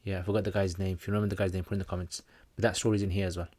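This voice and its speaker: A man with a fairly low voice hosts a livestream, answering viewer comments in a relaxed, joking, meandering way. The sound is dry and close, with no room around it. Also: racing, no room sound. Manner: jokey, rambling, with slangy asides. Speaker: Islamic teacher hosting a livestream Q&A.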